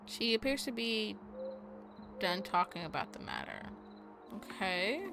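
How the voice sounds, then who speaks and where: A woman's voice: medium pitch, animated, a little slow, gravelly. A woman game streamer giving casual playthrough commentary and reading game text aloud. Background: game music.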